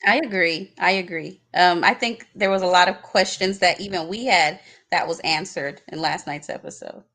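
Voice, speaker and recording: A woman panelist in a casual online chat who talks in a warm, agreeable way. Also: on the low side, normal speed.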